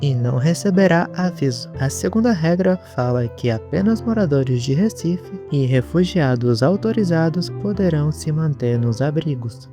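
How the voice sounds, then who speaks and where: A man's voice: fairly high pitch, mellow. A man with a Brazilian accent narrating an explainer about an online horror series. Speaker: YouTube narrator.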